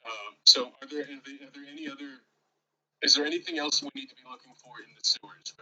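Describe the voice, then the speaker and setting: A man keeps the tabletop chat moving with questions and teasing, laughing often. His voice is bright and forceful, with pitch that leaps around. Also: wildly swooping pitch, quick pace, creaky, poor audio. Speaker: player. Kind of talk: casual tabletop game chat.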